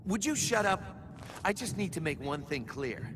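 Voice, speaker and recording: An actor playing a brash, impatient assassin in a video game, talking in a cocky, sarcastic, slangy way. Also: male voice, high voice, big pitch swings, moderate pace, heavy background noise. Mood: cocky, irritated. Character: brash, impatient, foul-mouthed male assassin. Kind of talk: video game cutscene acting.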